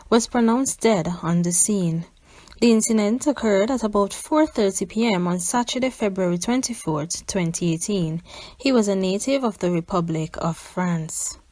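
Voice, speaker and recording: A woman's voice: mid-range voice, a little mellow, dry recording. A woman reading a scripted news report as a reporter.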